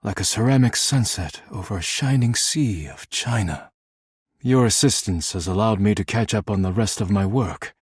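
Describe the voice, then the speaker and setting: An actor voicing a stern, proud, humorless male dishware character in a video game, speaking in formal, clipped, deadpan lines. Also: lowish voice, normal speed, slightly rough, no room sound, clean studio sound. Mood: grateful, solemn. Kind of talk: scripted game character dialogue.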